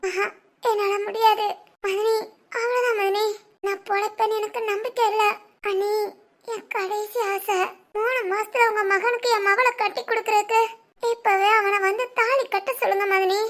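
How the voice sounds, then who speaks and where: A woman's voice: very high-pitched, fairly even intonation, moderate pace, a bit bright. A woman voicing a melodramatic middle-aged mother faking her deathbed, pleading and wailing theatrically.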